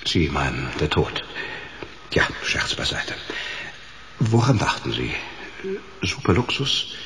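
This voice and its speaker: An actor playing an unctuous, mock-solemn undertaker with obsequious, formal condolences, in a German radio drama. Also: male voice, a bass voice, big pitch swings, takes it slowly, fairly bright tone, full character voice. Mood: morbidly chatty.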